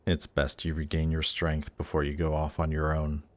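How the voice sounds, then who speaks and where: Casting: male actor for suave demon prince of Hell, extremely low voice, deliberate and tempting, intimate fantasy audio roleplay. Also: completely flat delivery, dry recording. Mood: confiding, persuasive. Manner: slow, seductive, deliberate, gently coaxing. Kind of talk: intimate audio roleplay, scripted monologue to listener.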